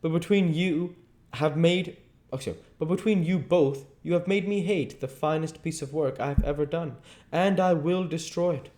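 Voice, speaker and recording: A man's voice: a little high, moderate pace, warm tone, pitched above the performer's own voice, natural voice. A man doing an earnest, wounded painter.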